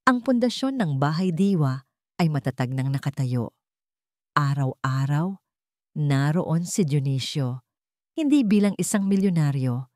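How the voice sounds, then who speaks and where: A woman narrating a scripted sentimental drama in measured, short phrases. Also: low-pitched, dark tone, no room sound, clean studio sound.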